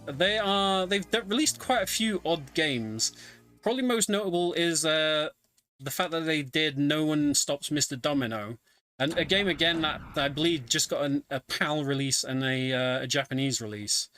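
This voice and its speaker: A man giving casual, conversational live commentary on a video game speedrun, often pausing with ums. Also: a little high, pushed and bright. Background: game music.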